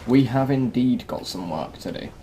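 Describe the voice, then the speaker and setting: A man's voice: mid-range voice, expressive, moderate pace, warm tone, a little hiss or hum. A man hosting a gaming video, giving casual, chatty guide commentary and explaining as he goes. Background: music.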